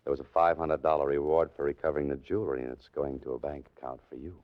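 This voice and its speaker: Very deep-voiced wry writer-sleuth. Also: male voice, fairly even intonation, quick pace. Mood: wry, kindly. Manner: wry, understated. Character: wry adventurous male writer-sleuth, cool and kindly. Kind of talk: 1940s radio acting. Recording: muffled lo-fi 1940s radio recording.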